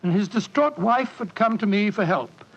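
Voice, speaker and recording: An actor playing a genial, fussy elderly British doctor who reminisces in 1940s radio drama style. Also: male voice, high voice, lively intonation, moderate pace, creaky, slightly rough, heavily acted. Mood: storytelling. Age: older. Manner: avuncular, fussy, genteel. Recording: muffled vintage 1940s radio broadcast.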